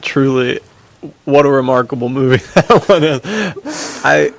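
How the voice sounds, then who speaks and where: A man's voice: mid-range voice, expressive, unhurried, vocal fry. A man hosting a casual podcast chat in an easygoing, agreeable way. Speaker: podcast co-host and interviewer.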